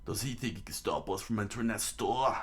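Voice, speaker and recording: A man's voice: lowish voice, a little fast, slightly rough. A man voicing a haughty, scornful pirate lion tamer in a story, talking down to others.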